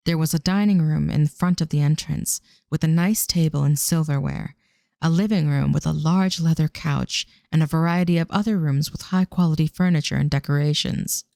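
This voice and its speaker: A woman's voice: sits low, moderate pace, vocal fry, dark tone, no echo, studio quality. A woman narrating a scripted audio drama as a storyteller.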